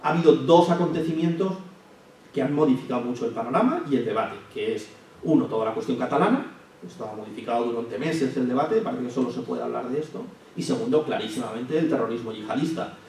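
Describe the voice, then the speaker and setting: A man's voice: medium pitch, a little mellow. Man lecturing in an echoey hall. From Spain. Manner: measured, thinking aloud with many restarts and hesitations. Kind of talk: public lecture. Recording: echoey hall, from far off, faint hiss.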